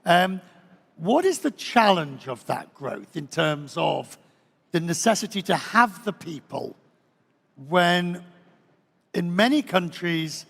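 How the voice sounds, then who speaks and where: Gravelly male moderator. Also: on the high side, a bit bright. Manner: questioning, hesitant, interjects. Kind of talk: moderating a panel. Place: large conference hall stage. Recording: long hall reverb.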